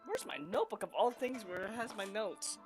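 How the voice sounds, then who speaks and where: A woman's voice: a little high, big pitch swings, a little fast. A woman streamer giving casual, off-the-cuff live commentary on a game. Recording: game music behind. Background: game music.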